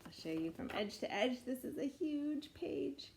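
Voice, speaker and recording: A woman reading a rhyming picture book aloud in an expressive, measured storytelling way meant for children. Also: sits high, a little hiss or hum. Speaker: storybook reader.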